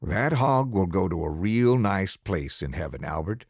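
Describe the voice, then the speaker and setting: A man voicing a harsh, cursing farmer father in an audiobook reading, with a lively, swinging pitch and a dry close sound. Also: measured pace, no echo, full character voice. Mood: gruff. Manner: gruff, cursing, commanding. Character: harsh rough farmer father, gruff, impatient. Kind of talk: audiobook character voice.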